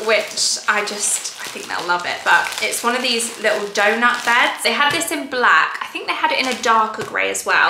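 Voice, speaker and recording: A woman's voice: a little high, bright, forceful tone, slight background noise. A young woman from England, chatting upbeat to camera in a home haul vlog as she lists her buys one by one. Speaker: lifestyle vlogger. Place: large bare room at home, indoors. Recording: echoey empty room.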